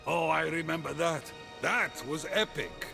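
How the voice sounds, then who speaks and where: A man's voice: fairly high pitch, unhurried, gravelly. A man playing a brash, irreverent Greek god in a video game, flippant and childish with casual, slangy delivery. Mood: amused, gleeful. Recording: music behind the voice.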